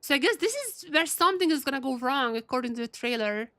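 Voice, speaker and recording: A woman's voice: high voice, big pitch swings, fast, a bit bright. A woman doing casual live game commentary for her chat, repeating phrases as she thinks aloud, her voice a little worn from a cold. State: getting over a cold, voice wearing out. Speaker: game streamer.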